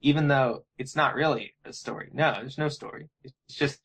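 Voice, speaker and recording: A man's voice: medium pitch, moderate pace, vocal fry, fairly bright tone, husky. A man talking casually and off the cuff, in a bemused way. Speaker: web series co-creator. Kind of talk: casual unscripted discussion.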